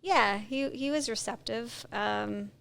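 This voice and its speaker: Gravelly-voiced woman explaining eagerly. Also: on the high side. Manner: lively, explaining with quick asides. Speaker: guest, business owner. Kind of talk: casual chat.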